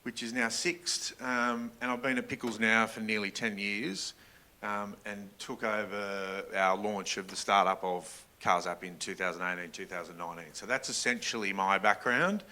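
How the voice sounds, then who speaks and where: A man's voice: on the low side, fairly even intonation, moderate pace, pushed and bright. A middle-aged man giving an informal business workshop presentation, engaging directly with his audience. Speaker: workshop presenter, general manager.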